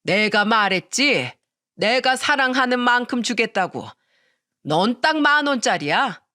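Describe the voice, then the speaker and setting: A woman's voice: on the high side, expressive, unhurried, sharp tone. A woman voicing a stern elderly mother-in-law who scolds bluntly in a dramatic family story. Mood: firm. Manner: firm, reproachful, blunt.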